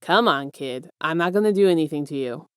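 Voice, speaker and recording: A smug, casual male villain mastermind, acted by a woman, pitched low. He coaxes and teases softly, with affectionate confidence. The story reading sounds dry and close. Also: expressive, a little fast, clean tone, no echo. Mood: reassuring. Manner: teasing, coaxing, soft-spoken, self-assured.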